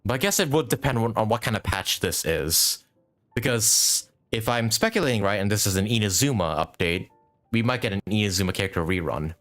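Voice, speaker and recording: Low-voiced, animated man streaming a game. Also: fairly quick, soft background music. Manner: rambling, thinking aloud, mildly swearing. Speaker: live streamer. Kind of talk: casual gaming stream commentary.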